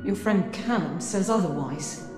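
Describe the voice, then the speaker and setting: An actress voicing a grieving young woman, quiet and curt. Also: on the low side, a little slow, natural voice. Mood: cold. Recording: echoey room with music.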